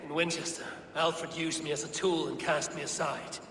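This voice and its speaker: Man acting a blunt, forceful Viking warrior. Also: fairly high pitch, little pitch movement, normal speed, in a large hall, slight background noise, natural voice. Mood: vengeful. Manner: blunt, stern, scornful. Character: blunt, proud, vengeful young Viking warrior. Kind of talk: dramatic video game acting.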